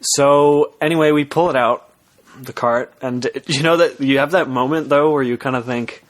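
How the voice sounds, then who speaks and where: A young man co-hosting a podcast, telling a story to friends in a halting, self-interrupting way with casual swearing. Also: medium pitch, steady tone.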